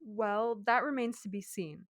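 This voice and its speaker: A woman playing a methodical police inspector in a radio drama, speaking in a measured, formal way. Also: mid-range voice, natural voice. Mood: businesslike, probing. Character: methodical, knowing police inspector questioning suspects. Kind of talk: amateur radio drama acting.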